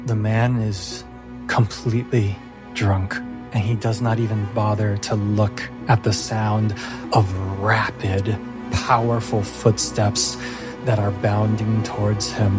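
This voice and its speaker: A man working as game master, narrating a tabletop game in a solemn, deliberate, dramatic way with pauses. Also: on the low side, flat delivery, music in the background.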